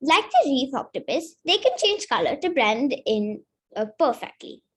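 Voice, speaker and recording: High, lively female storybook reader. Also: normal speed. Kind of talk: read-aloud of a children's picture book.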